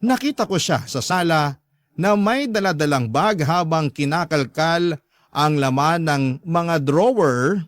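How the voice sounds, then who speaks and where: A man's voice: fairly high pitch, animated, unhurried, dry recording, studio quality. A man with a Filipino accent narrating a first-person story as a radio host, in an earnest, confiding and polite way.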